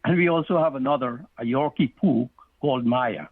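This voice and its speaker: A middle-aged man from Guyana, an author answering interview questions in a measured, explanatory way. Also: medium pitch, husky, no echo. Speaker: author and guest. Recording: tinny phone line.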